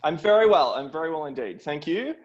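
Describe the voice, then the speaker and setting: A man's voice: on the high side, big pitch swings, brisk, highs cut off. A middle-aged man with an Australian accent, speaking as a guest film producer in a conversational storytelling style on a video call.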